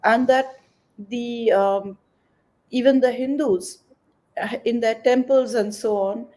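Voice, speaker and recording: Mellow, higher-pitched woman, measured interview guest. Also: fairly even intonation, poor audio. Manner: measured, articulate, explanatory. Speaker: environmental activist, interview guest. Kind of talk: interview answers.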